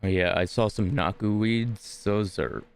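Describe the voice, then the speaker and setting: A man's voice: lowish voice, steady tone, unhurried, vocal fry, clean tone. A man streaming a video game, talking casually and reading along as he plays. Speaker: streamer playing and commenting on a game. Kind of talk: casual game chat and read-aloud.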